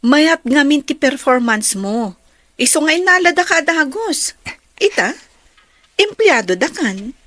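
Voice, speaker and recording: A woman's voice: high-pitched, big pitch swings, measured pace, bright, forceful tone, no echo, full character voice. A woman acting a friendly, admiring young working woman in an Ilocano radio drama.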